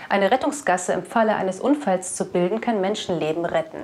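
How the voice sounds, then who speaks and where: A young woman reads the news as a presenter in a studio. Her voice sits at a medium pitch and is picked up by a clip-on microphone. The delivery is scripted. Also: brisk, a little mellow. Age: young adult. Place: indoor studio. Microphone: clip-on lapel microphone.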